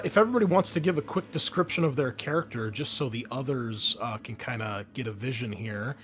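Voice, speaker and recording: A man's voice: medium pitch, a bit bright. A man acting as game master, narrating and explaining casually and hesitantly to players.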